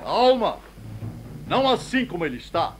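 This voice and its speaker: Haughty, mocking king, high-voiced. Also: big pitch swings, moderate pace, a little hiss or hum, rough recording. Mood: sardonic, amused. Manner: theatrical, sardonic. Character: mocking, haughty Judean king toying with a prisoner. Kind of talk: biblical audio drama acting.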